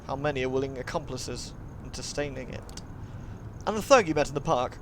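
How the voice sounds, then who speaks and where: A man's voice: medium pitch, brisk, a bit bright, slight background noise. A man streaming a visual novel, reading the narration aloud dramatically and breaking into playful, chatty asides to his viewers. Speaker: live streamer.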